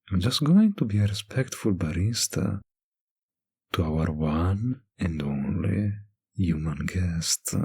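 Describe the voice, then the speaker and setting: A suave, world-weary vampire bartender, acted out by a man, speaks intimately to the listener in an audio roleplay. His low voice crackles with heavy fry. He takes his time, slow and languid, teasing between hesitant pauses. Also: darkish tone, exaggerated voice. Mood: coy, teasing.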